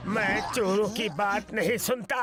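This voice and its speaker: A man voicing an excitable, gullible, earnest middle-aged cartoon man in a Hindi animated show, with exaggerated, drawn-out delivery. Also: high voice. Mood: indignant, scolding. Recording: loud music under the voice.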